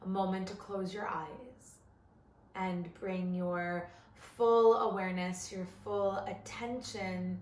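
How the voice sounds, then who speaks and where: A woman leading a guided body scan meditation as an instructor, gentle and measured, with long pauses between phrases. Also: mid-range voice, fairly even intonation, echoey room.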